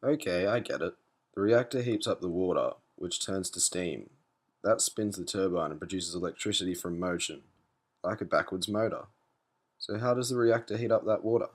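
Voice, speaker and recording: A man's voice: low-pitched, moderate pace. A man playing a naive, anxious and questioning spaceship captain in an educational cartoon. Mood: curious. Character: clueless, anxious male spaceship captain, curious learner. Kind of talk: scripted animated educational dialogue.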